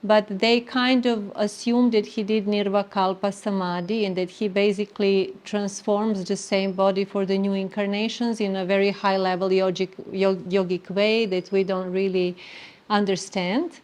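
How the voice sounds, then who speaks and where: A middle-aged woman with a Serbian accent speaks at an ordinary, mid-range pitch. She talks earnestly and haltingly, pausing on fillers, as she shares her personal spiritual story. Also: flat delivery, a little mellow. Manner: earnest, halting, non-native grammar with many fillers. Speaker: interviewee sharing spiritual story. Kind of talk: reflective personal testimony.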